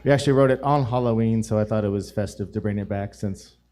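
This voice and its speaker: A man chatting as a guest musician on a live show, his voice raspy and hoarse, relaxed and full of fillers. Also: lowish voice, fast, over loud music. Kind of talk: casual chat on a live show.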